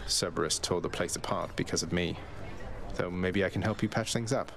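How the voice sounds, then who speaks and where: A man's voice: deep voice, brisk, gravelly, music in the background. A man voicing a young, courteous underworld prince in a video game, polite and dry. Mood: apologetic, kind. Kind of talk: video game character acting.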